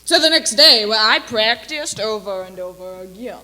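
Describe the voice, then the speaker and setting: A woman playing a brash female Hollywood agent in a dramatic stage monologue, speaking deliberately with long pauses. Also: a little high, expressive, sharp tone. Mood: wistful. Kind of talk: theatrical dramatic monologue for speech competition.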